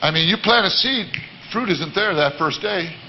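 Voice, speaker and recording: A man with a baritone voice giving an earnest, repetitive sermon-style talk to a church congregation. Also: animated, normal speed, dull audio, slight background noise. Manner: earnest, repetitive, many restarts. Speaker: worship leader. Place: church service.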